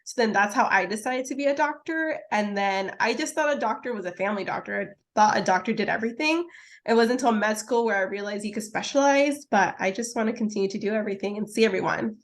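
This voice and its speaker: A woman, a resident physician, telling her story on a panel in a lively, chatty way full of fillers. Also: mid-range voice, fast. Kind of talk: casual panel talk.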